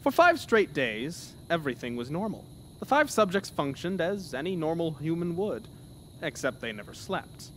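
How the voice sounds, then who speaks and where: A man's voice: on the high side, very animated, normal speed, fairly bright tone, a little hiss or hum, low-quality recording. A man narrating a scripted horror story in a dramatic, theatrical manner.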